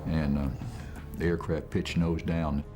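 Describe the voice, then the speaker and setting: A middle-aged male pilot recalls an experience for an interviewer. His voice sits low, and he speaks in a measured, matter-of-fact way. Music is clearly audible behind him. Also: flat delivery.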